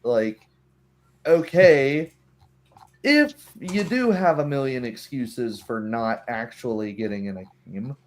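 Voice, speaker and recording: Man talking through a casual argument, mid-pitched. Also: expressive, a little hiss or hum. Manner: halting, argues through hypotheticals and mimicked dialogue. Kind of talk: casual opinionated discussion.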